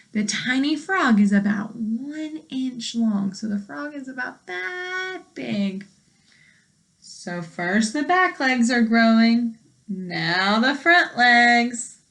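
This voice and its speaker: A woman teacher with a bright, fairly high voice reads aloud for young children. She takes her time over the words and speaks in an instructive way, putting questions to her listeners. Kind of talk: read-aloud lesson with commentary and questions.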